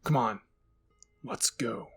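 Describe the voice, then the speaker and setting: A man voicing a stern, gruff male military commander in an anime story, curt and commanding. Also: takes it slowly, a bit bright, soft background music.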